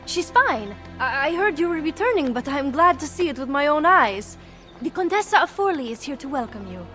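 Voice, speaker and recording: A woman's voice: sits high, very animated, a little fast, bright, forceful tone, faint music behind, full character voice. An actress playing an earnest, affectionate young Renaissance noblewoman with an Italian accent in a video game. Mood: glad, relieved. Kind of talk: video game cutscene acting.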